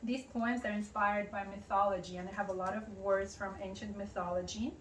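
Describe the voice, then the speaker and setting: A woman's voice: medium pitch, fairly even intonation, brisk. A woman whose first language is Russian, chatting as an interview guest at home and explaining with many fillers. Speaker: interview guest, poet and artist. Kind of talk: casual interview. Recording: roomy, distant mic, faint hiss.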